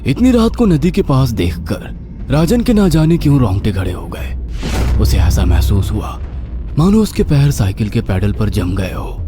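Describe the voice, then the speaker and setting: Animated male horror story narrator. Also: medium pitch, normal speed, over music.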